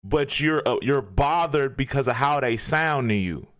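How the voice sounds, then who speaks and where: A man's voice: mid-range voice, animated, measured pace, fairly bright tone. A man hosting a reaction video, ranting in disbelief and firing off rhetorical questions. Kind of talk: casual reaction commentary.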